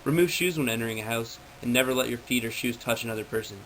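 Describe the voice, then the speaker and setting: A man with a mid-pitched voice delivers a prepared informational talk. His phrasing is formal and textbook-like, as if read from notes. The amateur recording carries clear background noise. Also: fast talker, fairly bright tone, poor audio. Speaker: presenter.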